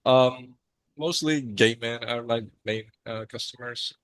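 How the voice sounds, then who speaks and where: Man from Chile, podcast guest, bright mid voice, chatty with filler words, dry close mic. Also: medium pitch, unhurried, no room sound. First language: Spanish. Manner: friendly, frequent ums and uhs. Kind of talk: casual interview storytelling.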